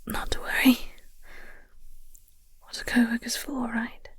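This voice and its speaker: Woman acting a breathy, whispery English flirt. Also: a little high, flat delivery, measured pace, no room sound. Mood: tender, soothing. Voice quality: soft, breathy. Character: flirty English woman coworker, tender, teasing, secretly fussy rival. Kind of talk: intimate audio roleplay, soft comforting ASMR acting.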